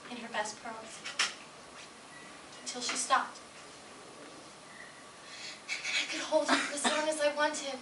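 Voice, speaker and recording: A woman performing a dramatic stage monologue as a scarred, disturbed daughter yearning for her mother, slow and hushed with sudden swells. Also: high-pitched. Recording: distant, echoey room with faint noise.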